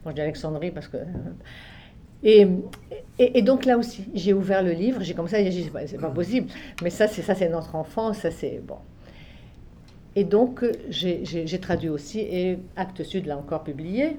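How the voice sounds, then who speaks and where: An older woman from Egypt, raised on Arabic and French alike, talks about her life as a translator. Her voice is dark and mellow, and she muses, circles back and repeats herself as the memories come. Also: fairly low pitch, expressive, moderate pace. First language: Arabic and French, both native. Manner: reflective, many restarts and soft trailing phrases. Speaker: literary translator. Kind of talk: relaxed spoken recollection.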